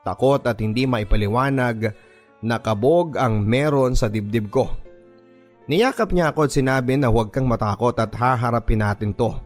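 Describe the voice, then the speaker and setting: A man with a Filipino accent reading a first-person story as dramatic scripted narration for a radio drama. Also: medium pitch. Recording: music bed under the voice. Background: background music.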